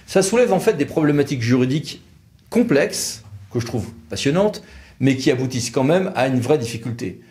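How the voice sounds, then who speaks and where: A man with a French accent from France speaks at an average male pitch. He delivers an educational explainer like a lawyer teaching an audience on video, conversational yet didactic, stressing his key words. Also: expressive, a little fast, darkish tone. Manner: didactic, conversational, emphatic, acting out examples. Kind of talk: educational legal explainer to camera.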